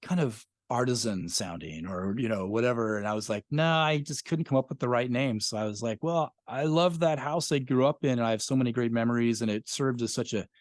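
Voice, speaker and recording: A man's voice: medium pitch, fairly quick, few pauses, no echo, clean studio sound. An American man chatting casually as an interview guest, with an easygoing, self-effacing storytelling way of talking. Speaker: podcast guest, audio drama creator.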